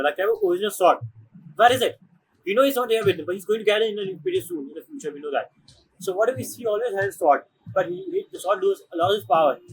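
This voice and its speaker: Animated high-pitched man commenting on a game. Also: a little hiss or hum, rough recording. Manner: excitable, rambling, swearing. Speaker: game streamer. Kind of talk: casual gameplay commentary.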